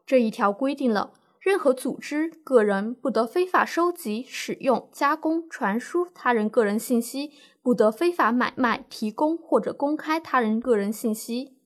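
Woman acting a higher-voiced, composed female lawyer. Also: lively intonation, moderate pace, hardly any pauses. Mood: explanatory, firm. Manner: composed, explanatory, formal legal phrasing. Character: calm, reassuring female lawyer advising a client. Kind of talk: scripted legal-education radio drama.

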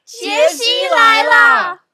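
Tiny, very shrill excitable rabbit. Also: female voice, drawn-out words, over loud music, full character voice. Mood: alarmed. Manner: urgent, excitable, calling out. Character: small frantic squeaky rabbits of the forest. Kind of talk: children's audio drama.

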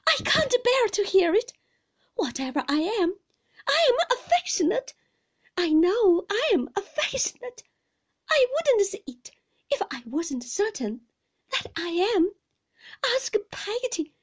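A timid young wife in a classic storybook, with a very high, girlish voice that swoops with feeling. She falters and pleads plaintively, tearful and easily hurt. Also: big pitch swings, measured pace, darkish tone, heavily acted. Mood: piteous pleading. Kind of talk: audiobook character acting of a classic novel.

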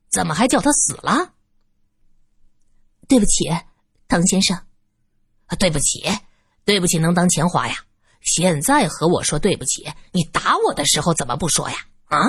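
A woman's voice: fairly low pitch, breathy edge, no room sound, studio quality, heavily acted. A woman voicing a greedy, surly father in his thirties who hurls accusations. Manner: accusing, grasping.

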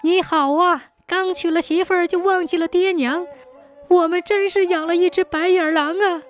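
Woman acting a high-pitched, scolding elderly parent. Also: moderate pace, faint music behind. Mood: angry scolding. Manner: complaining, scolding, wailing. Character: nagging, indignant elderly parents of grown son.

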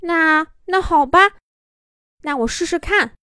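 A woman's voice: extremely high pitch, pushed and bright, no echo, clean studio sound, voice pushed up, heavily acted. A woman voicing a bossy young boy cat who leads the dance team in a children's story, talking in a fussy, doubtful way. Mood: reluctant. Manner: fussy, doubtful, quick to fret.

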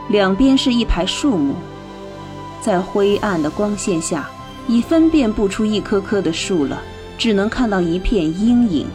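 A female narrator tells a radio drama story in a descriptive, atmospheric way. Her voice sits at a medium pitch, and music plays clearly behind it.

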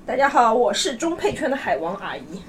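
Fast-talking woman in casual group chat. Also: on the high side, very animated, slight background noise. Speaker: podcast guest.

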